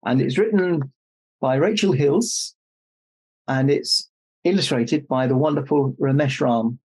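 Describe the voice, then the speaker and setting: Jolly elderly Santa Claus played by a man, mid-pitched and unhurried, reading to kids with playful mock indignation. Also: a little mellow, slightly rough, low-quality recording. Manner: jokey, mock-indignant asides. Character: Santa Claus, jolly old man telling stories to children. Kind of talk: children's storybook read-aloud.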